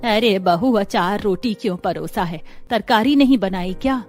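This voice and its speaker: A woman's voice: medium pitch, a little slow, a little mellow, faint music behind, close to an everyday voice. A woman voicing a fussy old mother-in-law in a cartoon story. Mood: displeased.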